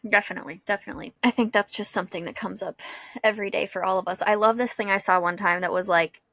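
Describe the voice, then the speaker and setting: A young adult woman shares personal thoughts in a casual podcast conversation. Her voice sits at an average pitch, and she speaks in an informal, reflective way with very little room sound. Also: fast, creaky, a bit bright, no echo. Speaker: podcast co-host; school psychologist.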